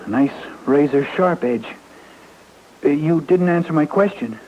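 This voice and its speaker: A man's voice: on the high side, animated, a little slow. An actor playing a resentful, menacing teenage boy in a 1950s radio drama, needling and insistent. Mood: brooding. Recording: muffled, noisy old radio recording.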